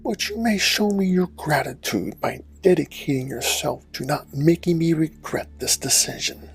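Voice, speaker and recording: A man playing a stern, imperious master and parent in an audio drama, speaking in a formal, haughty, measured way. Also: fairly high pitch, animated, vocal fry, slightly rough, soft background music, exaggerated voice. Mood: haughty, grudging. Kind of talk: audio drama character acting.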